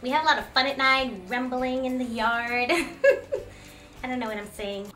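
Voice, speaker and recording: A woman's voice: sits high, measured pace, bright, forceful tone, distant mic, faint music behind. A woman playing a ditzy, gushing celebrity wife who talks in giggly baby talk, in a comedy sketch. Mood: giddy. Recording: echoey room.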